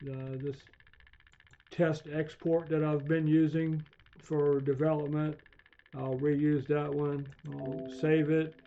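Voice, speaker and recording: A man giving an unscripted screencast tutorial, haltingly thinking aloud as he demonstrates software. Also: a little high, flat delivery, a little hiss or hum. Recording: dull, lo-fi voice recording.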